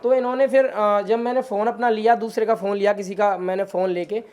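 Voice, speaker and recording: A man's voice: sits high, fairly even intonation, fairly quick, a little mellow. A man giving an insistent, repetitive spoken account of how he was wronged. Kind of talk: spoken account of threats received.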